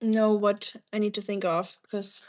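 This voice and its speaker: A woman's voice: mid-range voice, level tone, measured pace, a little mellow. A woman from Germany with a German accent, chatting casually on a livestream and answering viewers in a rambling, teasing way.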